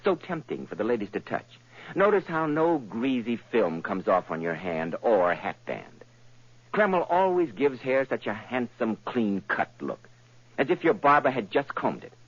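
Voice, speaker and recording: A man's voice: big pitch swings, unhurried. A man announcing an old radio show and reading a persuasive commercial, from a dull old broadcast. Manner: smooth, persuasive sales pitch.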